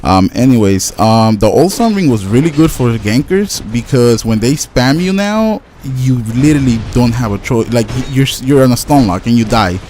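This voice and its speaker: A man's voice: medium pitch, animated, moderate pace, hardly any pauses, darkish tone. Rambling man with a Spanish accent. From Latin America. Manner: rambling, stutters and restarts often. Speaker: gaming YouTuber. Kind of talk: casual gameplay commentary. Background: faint household noise, dog barking.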